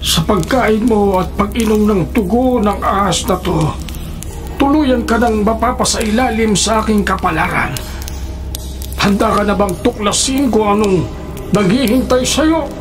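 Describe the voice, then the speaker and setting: A man's voice: high voice, a little slow, over music. An actor playing a menacing old male spirit in a radio drama, with ominous, theatrical and formally old-fashioned phrasing. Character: menacing old male wandering spirit seeking release from curse.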